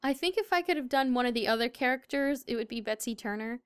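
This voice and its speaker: American woman, sounds really young, super clean highish voice, answering interview questions, dry sound. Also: lively intonation, fast, clear, resonant tone, no echo. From Southern United States. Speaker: voice actor being interviewed.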